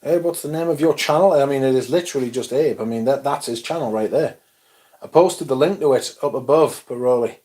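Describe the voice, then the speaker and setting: A young man hosting a casual livestream, reading chat comments aloud and chatting in a loose, relaxed way with casual swearing. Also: mid-range voice, brisk. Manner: laid-back, swears casually, mumbles asides, reads chat aloud. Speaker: livestream host.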